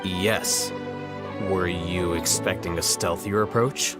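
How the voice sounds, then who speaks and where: A man voicing an aloof young scholar who speaks in a measured, dry, cutting way. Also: low-pitched, fairly even intonation, over loud music. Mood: calm. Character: aloof male scholar, cool and condescending. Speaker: scholar, scribe.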